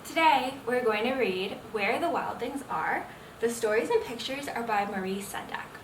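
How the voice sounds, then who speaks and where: A young adult woman reading a picture book aloud to children, expressive and full of engaging questions. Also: fairly high pitch, moderate pace. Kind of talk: children's read-aloud storytelling. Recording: roomy echo, distant mic, faint noise.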